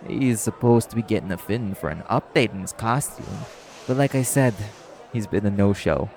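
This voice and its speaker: A man plays a businesslike tailor working at a nightclub in an audio roleplay. His voice is low and gravelly. He is brisk and direct, with informal, streetwise phrasing. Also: a crowd in the background, lightly acted. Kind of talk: audio roleplay acting addressed to the listener.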